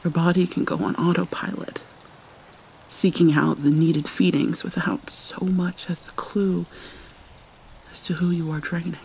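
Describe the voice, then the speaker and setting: Slow, whispery, sorrowful vampire mermaid played by a woman. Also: on the low side, poor audio. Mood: troubled. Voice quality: soft, breathy, often slipping into whisper. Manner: halting, mournful, dramatic pauses. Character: vampire mermaid, sorrowful young-looking princess, centuries old, haunted. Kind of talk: soft-spoken ASMR roleplay monologue. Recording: steady rain and water noise behind. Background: rain ambience.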